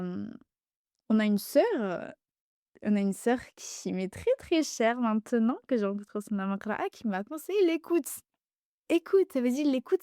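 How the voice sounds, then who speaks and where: A woman's voice: mid-range voice, dry recording, clean studio sound. A young woman guest talking casually on a podcast, full of fillers and restarts, Arabic dialect as her first language. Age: young adult. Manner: hesitant, many fillers and restarts, animated. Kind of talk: casual conversation.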